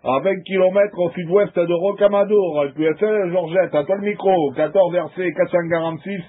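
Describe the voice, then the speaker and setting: A man with a fairly high voice talks as a CB radio operator. He is chatty and friendly, making informal contact. The sound is thin and tinny, like a narrow radio band. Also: fairly even intonation, normal speed.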